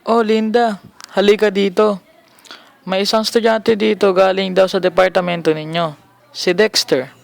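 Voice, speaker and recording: A man's voice: sits high, little pitch movement, moderate pace, vocal fry, long pauses, dry recording. A young man with a Filipino accent playing a devoted, polite widowed husband in an amateur radio drama. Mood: welcoming. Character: devoted, grieving husband and father, polite and pleading.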